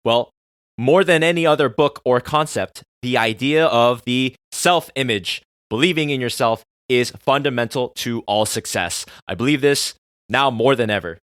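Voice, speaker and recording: Podcast host, man in his thirties, Korean native speaker, earnest and excitable, dry close-miked sound. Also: medium pitch, normal speed, smooth, no room sound. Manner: earnest, excitable, swings from soft musing to loud swearing. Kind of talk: solo podcast monologue.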